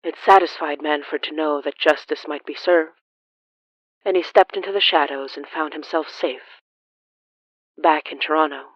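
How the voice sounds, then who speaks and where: A horror storyteller delivers scripted narration in a mid-pitched voice. The delivery is solemn and deliberate, broken by dramatic pauses. The recording sounds somewhat dull and closed-in. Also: steady tone, no room sound. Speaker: narrator of a horror fiction podcast.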